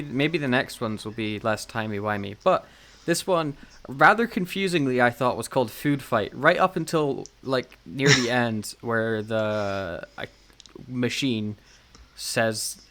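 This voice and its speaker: A man with a medium-pitched voice talks casually as a podcast co-host. He is wry and jokey as he gives his opinions. Laughter comes easily to him. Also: animated, measured pace, fairly bright tone. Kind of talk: casual chat reviewing audio dramas.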